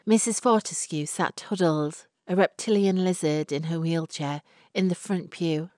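A woman narrating a dramatic short story with deliberate, expressive phrasing. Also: lowish voice, no echo, studio quality. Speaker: audiobook narrator.

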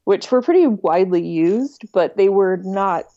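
Mellow female interview guest, slightly dull sound. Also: mid-range voice, expressive, unhurried, dry recording. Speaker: podcast guest, online music archive director. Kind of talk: casual interview conversation.

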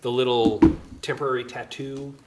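A man's voice: mid-range voice, flat delivery, normal speed. A man co-hosting a casual talk show, bantering and telling stories in a wry, opinionated way. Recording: clear background noise.

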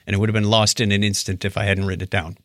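A man's voice: lowish voice, little pitch movement, very fast, a bit bright, dry recording, studio quality. A man co-hosting a podcast in a casual, playful, chatty style. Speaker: podcast co-host. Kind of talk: casual chat and listener thanks.

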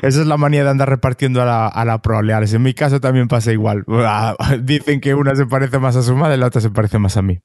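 A man hosts a relaxed podcast interview, friendly and teasing, laughing easily between questions. His voice sits in a middle range, and the sound is dry and close to the mic. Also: medium pitch, quick pace, few pauses, no echo. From Galicia, Spain. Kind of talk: casual conversational interview.

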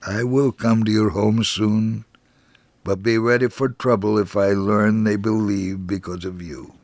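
A male narrator puts on a hostile rabbi for a radio testimony. He speaks slowly and emphatically in a dry, close sound. His manner is stern and accusing, full of warning. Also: lowish voice, steady tone, darkish tone, no room sound. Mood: stern, threatening. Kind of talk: dramatized testimony reading for radio.

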